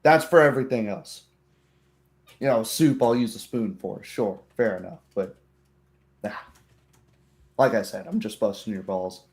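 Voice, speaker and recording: A man's voice: fairly low pitch, measured pace, gravelly, long pauses. A man playing a blunt, teasing farming villager in a plainspoken, folksy way.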